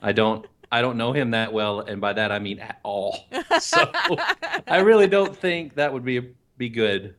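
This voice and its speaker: Man, podcast co-host, deep voice, casual chat, rambling, mid pitch. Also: a little slow, fairly bright tone. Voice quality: deeper voice. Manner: rambling, with frequent restarts and easy laughter.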